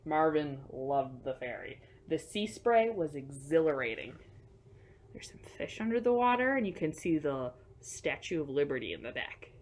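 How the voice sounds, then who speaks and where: A woman hosting a children's storytime, reading picture books aloud with emphatic delivery and hushed asides. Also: low voice, big pitch swings, moderate pace, a little hiss or hum. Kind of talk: picture book read-aloud for young children.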